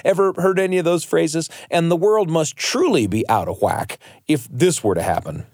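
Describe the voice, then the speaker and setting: A man's voice: a little high, sing-song, moderate pace, darkish tone. A man hosting a radio show with scripted, playful, folksy narration addressed straight to listeners. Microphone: close studio microphone. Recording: dry studio with music underneath.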